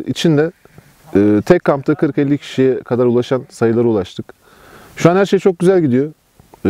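A man's voice: mid-range voice, mellow. A young man explaining his camping group in a casual, earnest interview as its organizer. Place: outdoors at a campsite.

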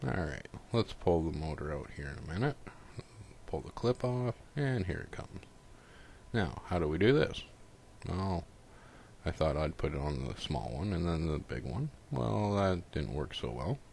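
A man's voice: low voice, animated, moderate pace, creaky, a little mellow, slight background noise. A man casually narrating a step-by-step repair tutorial in an informal, folksy, unscripted way.

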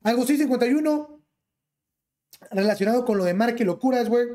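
Ranting young Mexican streamer. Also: male voice, very high voice, fairly quick, mellow. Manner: ranting, fillers every phrase with slang. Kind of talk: reacting to clips on a live stream. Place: small bedroom. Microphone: gaming headset.

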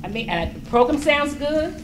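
A woman's voice: high voice, expressive, moderate pace. A middle-aged woman speaking at a school board public forum, earnest and pleading, stressing words as she goes. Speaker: public commenter. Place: large school meeting room. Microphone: standing mic at a distance.

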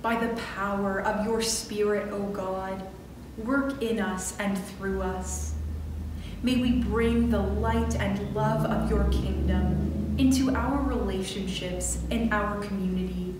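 A middle-aged woman minister leading a scripted prayer in a measured, solemn way, phrase by phrase, from a church pulpit. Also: medium pitch, fairly even intonation. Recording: roomy distant church recording with clear noise.

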